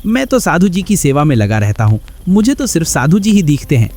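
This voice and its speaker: A man's voice: on the high side, big pitch swings, fairly quick, darkish tone, breathy edge, heavily acted. A man voicing a meek, fawning thief disguised as a disciple in a cartoon folk tale. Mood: meek, devoted. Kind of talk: cartoon voice acting.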